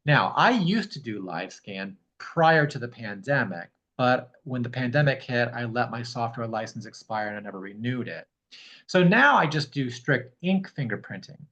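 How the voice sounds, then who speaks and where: A man's voice: medium pitch, expressive, moderate pace, fairly bright tone, dull audio. A man giving matter-of-fact, explanatory advice to a caller on a group video call. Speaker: notary and fingerprint roller, co-host.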